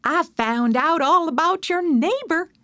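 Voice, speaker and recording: A woman's voice: high voice, sing-song, unhurried, no room sound, pitched above the performer's own voice. A woman voicing a chatty, eager gossip who loves passing on news. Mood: gossipy.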